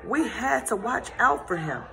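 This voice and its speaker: A woman's voice: on the high side, sing-song, measured pace, gravelly, voice pushed up, full character voice, low-quality recording. A woman voicing a fretful jagged-finned reef fish. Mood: worried. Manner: fretful and accusing. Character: anxious, suspicious male reef fish with a jagged fin.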